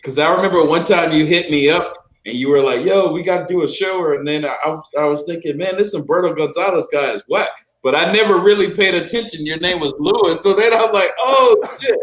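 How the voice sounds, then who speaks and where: Relaxed man in an echoey room. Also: a little high, fairly quick. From Cuba. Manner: relaxed, agreeable, laughs along. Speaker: guest panelist. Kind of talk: casual online show chat.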